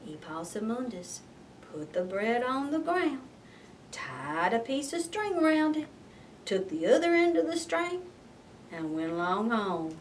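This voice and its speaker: A woman reading a picture book aloud as expressive narration, like a librarian at story time. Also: a little high, unhurried, recorded from a distance, slight background noise.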